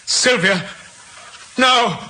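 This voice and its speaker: An actor playing a smooth, devious Victorian doctor and sorrowful husband in an old-time radio drama, theatrical and measured. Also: male voice, very high voice, background noise, exaggerated voice. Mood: grief-stricken. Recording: vintage radio drama recording.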